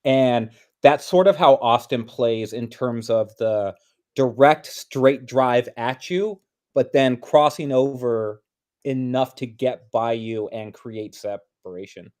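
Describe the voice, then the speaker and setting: A man with a middling pitch gives casual, analytical sports commentary. He explains tactics piece by piece in a conversational, co-host manner. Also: slow pace, darkish tone. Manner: explanatory, thinks through tactics step by step. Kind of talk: casual analytical play breakdown.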